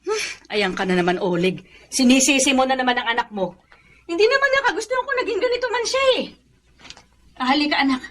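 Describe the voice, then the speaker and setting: A woman's voice: high voice, expressive, fast talker, pauses often, a bit bright. A woman acting in a radio drama as a patient, protective middle-aged mother, tender and pleading. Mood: tender, consoling.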